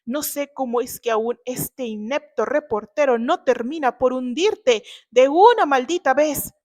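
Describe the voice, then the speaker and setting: A woman's voice: high voice, measured pace, a little mellow. A woman voicing a brash, scheming young man in a dramatized novel reading, spiteful and accusing. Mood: resentful. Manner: accusing, stammering when cornered.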